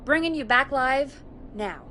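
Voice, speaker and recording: A woman's voice: high voice, lively intonation, measured pace, bright, forceful tone, slight background noise, full character voice. A woman playing a friendly, supportive radio show producer in dramatic game voice acting. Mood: relieved, upbeat.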